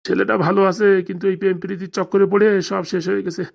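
A cartoon actor plays an anxious father near retirement with a rural Bengali accent. He talks extremely fast, rambling on in an aggrieved, lamenting way, like a man forever complaining in a village comedy. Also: medium pitch, flat delivery, creaky. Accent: Bengali, rural dialect. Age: middle-aged. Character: anxious, aggrieved father nearing retirement, fretting over lost money.

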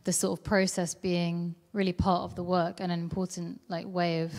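Lower-voiced woman hosting an interview on stage. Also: level tone, normal speed, a little mellow, a little hiss or hum. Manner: friendly, hesitant, many fillers. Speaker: interview host. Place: large indoor event space at a museum, on stage. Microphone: handheld mic. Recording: long hall reverb.

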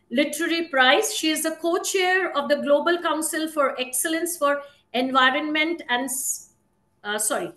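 A middle-aged woman with an Indian accent, formally introducing a speaker as host, reading carefully and haltingly over a video call. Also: sits high, sharp tone. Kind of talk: formal introduction read from notes. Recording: roomy video-call sound.

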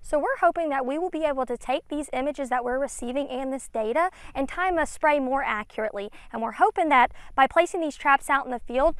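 A woman's voice: high-pitched, no echo. A woman in her twenties, a field specialist explaining a product as an interview guest outdoors. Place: outdoors in an open peanut field. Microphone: clip-on lavalier.

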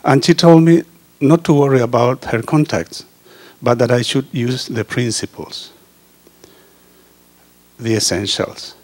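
A man reads an earnest prepared speech into a handheld microphone. His delivery is halting, with frequent pauses and restarts, and his pitch sits at an ordinary middle level for a man. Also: darkish tone. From Chile. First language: Spanish. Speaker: osteopath giving a testimonial. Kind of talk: reading a prepared personal speech. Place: indoor meeting room.

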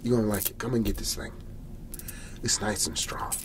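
A man playing a folksy, excitable garage-sale salesman in an ASMR roleplay, whispery and breathy, rambling and repeating his hard sell. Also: on the low side, brisk, slight background noise, natural voice. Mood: pleased, insistent. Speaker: host playing a character.

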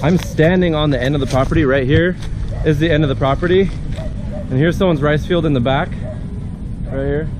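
A man's voice: on the high side, fairly quick, pauses often. A man in his thirties touring a farm property for his family vlog, chatty and playful, speaking into a camera on a selfie stick outdoors. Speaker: family vlogger. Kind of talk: casual vlog walkthrough. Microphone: action camera on a selfie stick. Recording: outdoor camera with clear background noise.

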